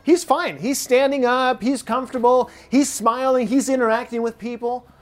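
A man's voice: very high voice, steady tone, moderate pace. Middle-aged American man, pastor, easygoing conversational sermon delivery. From United States. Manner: conversational preaching with repeated phrases. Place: church sanctuary.

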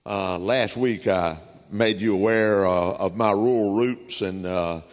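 A man with a Southern US accent preaching a sermon, telling folksy stories with frequent pauses and filler words. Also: fairly low pitch. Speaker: guest preacher. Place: church sanctuary. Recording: reverberant church sanctuary.

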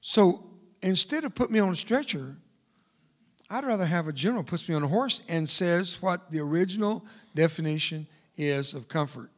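A man's voice: sits high, lively intonation, normal speed, a bit bright. An older man, a veteran, preaching to a church congregation with emphatic, repeated phrases. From United States. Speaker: guest speaker, veteran. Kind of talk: sermon.

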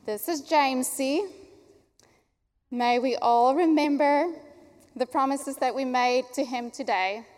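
A young adult woman pastor from Africa, an Afrikaans speaker, leading a baptism prayer in an earnest, warm way. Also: sits high, takes it slowly. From South Africa. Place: church sanctuary. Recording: long church hall reverb.

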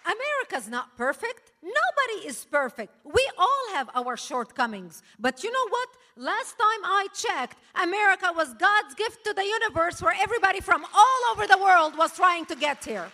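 High, bright woman speaking in an echoey hall. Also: very animated, normal speed, hardly any pauses. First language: not English. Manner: forceful, emphatic, punctuated with laughs. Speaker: speaker at a political rally. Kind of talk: impassioned public speech. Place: large hall before a live audience. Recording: echoey hall, long reverb.

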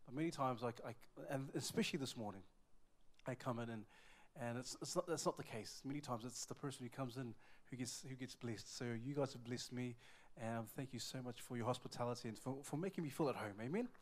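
A man from New Zealand with a New Zealand accent addresses a church congregation as a visiting evangelist. His talk is lively and stumbling, full of repeated words and shouts of praise. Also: medium pitch, brisk. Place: church service.

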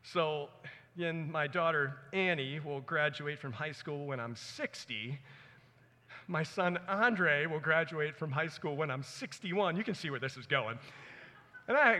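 A man's voice: on the high side, moderate pace, pushed and bright. A man in his forties preaching a sermon in an earnest, conversational way, with personal stories. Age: 40s. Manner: earnest, conversational, dramatizes his own thoughts. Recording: echoey hall with long reverb.

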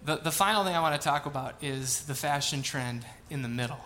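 A man in his thirties preaching from a church stage, his voice pitched a touch high and bright with an edge of tension. He slides from reading and prayer into loose, chatty storytelling, poking fun at himself. A faint hum of room ambience sits behind him. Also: a little fast. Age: 30s. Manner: casual, chatty, self-deprecating storytelling. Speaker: pastor. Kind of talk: sermon with scripture reading and prayer. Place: church sanctuary.

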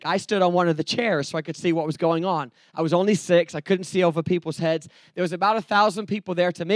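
English guy preaching, pretty high voice, dry close sound, tells his own story with little jokes thrown in. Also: no room sound. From England, later Texas. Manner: earnest storytelling with joking asides and repeated phrases. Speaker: preacher, evangelist. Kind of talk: sermon with personal testimony and humour.

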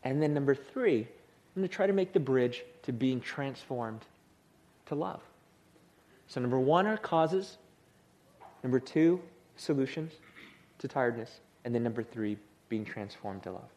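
A male pastor giving a sermon in church, with a soothing voice and a humorous, lively manner of delivery. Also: mid-range voice, a little slow, long pauses. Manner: lively, humorous, acts out tired people.

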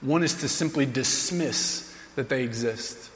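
A man in his thirties preaching a sermon as pastor, earnest and emphatic, slowing down to read scripture aloud. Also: medium pitch, fairly bright tone. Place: church sanctuary. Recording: long reverb of a large hall.

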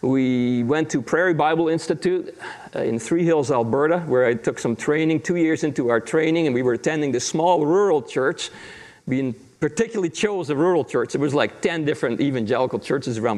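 A man who grew up in the Netherlands and speaks Dutch as his first language, preaching as a guest pastor in an easy, chatty way with self-deprecating jokes. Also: mid-range voice. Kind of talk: sermon.

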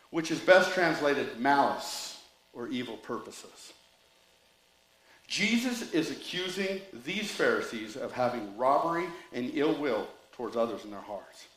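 A man's voice: medium pitch, fairly bright tone, roomy sound, poor audio. A man preaching a sermon with rambling asides and jokes, reading scripture and telling a story. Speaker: pastor.

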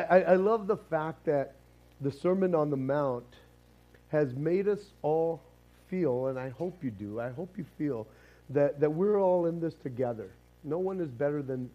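A man with a hoarse, raspy voice, preaching a casual sermon with jokes and a rambling, self-interrupting way of talking. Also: fairly high pitch, highs cut off. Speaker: pastor. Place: church sanctuary.

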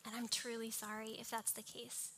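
A woman's voice: a little high, monotone, normal speed, a bit bright, slight background noise, poor audio. A young woman preaching a sermon with personal anecdotes, in a small, cartoonish voice. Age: young adult. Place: live talk before a congregation.

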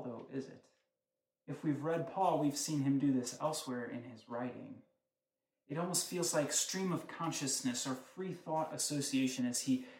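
A man's voice: fairly high pitch, slight background noise. A man preaching a sermon, teaching in a conversational way with frequent rhetorical questions. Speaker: pastor. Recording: echoey room.

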